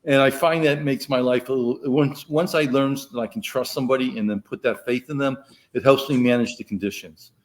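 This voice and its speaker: Loud middle-aged guy from the mid-atlantic us on a podcast, rambles, repeats himself as he starts. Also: mid-range voice, steady tone, brisk. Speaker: podcast co-host. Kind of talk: casual chat.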